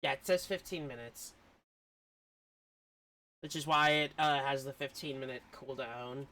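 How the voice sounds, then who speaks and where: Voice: mid-range voice, a little slow, sharp tone. A game streamer chatting casually over live play, rambling and easily flustered with a giggly manner. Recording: music behind the voice. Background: game music.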